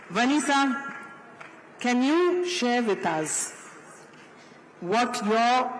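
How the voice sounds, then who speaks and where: A male moderator with a high voice speaks slowly and formally. He sounds roomy and far-reaching, with long hall reverb and faint background noise. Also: a bit bright. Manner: slow, deliberate, formal. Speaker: panel moderator. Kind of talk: formal introduction and question. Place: large conference hall.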